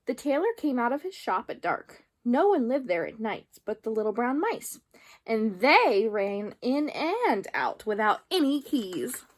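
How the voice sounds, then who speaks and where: High-voiced woman reading a storybook. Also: very animated, measured pace. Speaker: storyteller reading children's books aloud. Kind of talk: expressive storybook narration.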